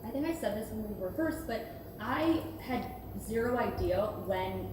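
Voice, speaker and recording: A woman's voice: medium pitch, lively intonation, moderate pace. A woman speaking informally as one of the actors in a casual group discussion. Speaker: actor in a post-reading discussion. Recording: very echoey room, distant, noisy.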